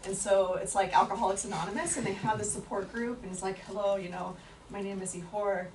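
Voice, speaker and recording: A woman's voice: medium pitch, fairly even intonation, fairly quick. A woman speaking at a book talk, answering an audience question thoughtfully with many fillers and restarts. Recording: echoey room from far off with faint noise.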